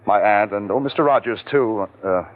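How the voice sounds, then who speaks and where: An actor playing a smooth-talking but nervous young office manager hiding a theft, in a tinny old radio recording. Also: male voice, on the low side, moderate pace, lightly acted. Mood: scheming. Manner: smooth-talking, hesitant when cornered. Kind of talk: 1940s radio acting.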